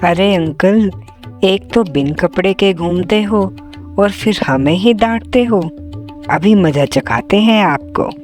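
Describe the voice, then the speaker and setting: A woman's voice: medium pitch, takes it slowly, darkish tone, soft background music, natural voice. A woman voicing a curious, cheeky young village boy in a cartoon story. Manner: cheeky, inquisitive. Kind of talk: Hindi cartoon story voice acting.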